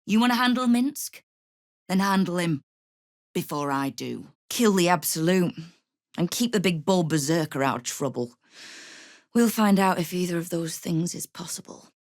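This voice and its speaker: A woman's voice: lowish voice, expressive, normal speed, dry recording, studio quality. An actress playing a tough, sardonic woman who runs a crime guild in a video game, speaking in a wry, commanding, menacing way. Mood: cool menace. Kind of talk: dramatic video game character dialogue.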